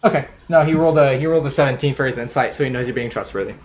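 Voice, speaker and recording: A man's voice: medium pitch, very fast, heavy background noise. A man narrating as game master over a voice call, rambling with many fillers and restarts. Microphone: headset over a voice call.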